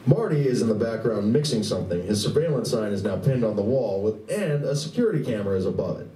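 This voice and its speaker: A man's voice: on the low side, sing-song, fast, dark tone. A man reading scripted narration for a live radio play, recorded in a room. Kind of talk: scripted stage-direction narration. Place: live convention performance room. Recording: echoey room, distant mic, faint noise.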